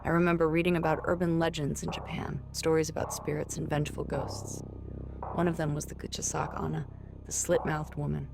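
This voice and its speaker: Woman acting a low, hushed, frightened young student. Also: level tone, a little fast, gravelly, over music. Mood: frightened, despairing. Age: young adult. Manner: hushed, fearful, confessional. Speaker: narrator of found-footage horror film. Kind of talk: first-person horror monologue, confessional recording. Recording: very noisy found-footage style recording, ambient hiss.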